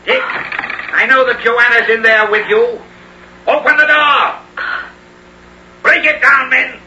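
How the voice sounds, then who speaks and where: A forceful, menacing villainous knight. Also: male voice, very high voice, flat delivery, slow pace, full character voice, low-quality recording. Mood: furious, commanding. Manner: imperious, theatrical, barking orders. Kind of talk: 1970s radio drama acting. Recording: vintage radio broadcast, faint noise.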